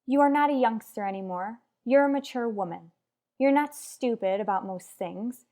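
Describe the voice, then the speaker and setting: Woman acting a stubborn married mom in a radio soap, kinda high voice, lectures bluntly with lots of emphasis. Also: normal speed, darkish tone, close to an everyday voice. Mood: blunt, insistent. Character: headstrong married mother, blunt with her rival.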